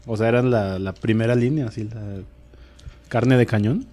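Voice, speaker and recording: A man with a Mexican accent chatting on a podcast panel, making wry, drawn-out remarks. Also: medium pitch, dark tone. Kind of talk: casual chat.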